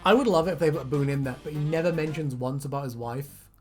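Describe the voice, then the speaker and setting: A woman's voice: sits low, fast talker, soft background music. A woman chatting casually and jokily as a co-host, swearing freely and prone to giggling. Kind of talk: casual banter, reacting to fan comments.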